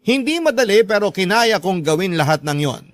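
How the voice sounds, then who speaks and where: Earnest male storyteller, slightly high voice. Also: very animated, a little fast. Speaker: radio storyteller. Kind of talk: scripted first-person story narration.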